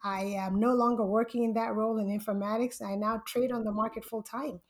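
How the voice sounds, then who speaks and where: A woman from Zambia, a guest on a podcast, telling her story in a lively way and laughing often. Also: fairly high pitch, brisk. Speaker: podcast guest, full-time stock trader. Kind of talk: casual interview storytelling.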